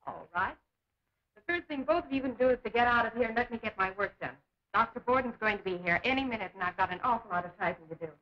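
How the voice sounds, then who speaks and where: A woman playing a crisp, businesslike young working woman in a 1940s film serial. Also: a little high, quick pace, close to an everyday voice. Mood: mildly exasperated. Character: brisk young working woman, an exasperated sweetheart. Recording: dull lo-fi 1940s serial soundtrack.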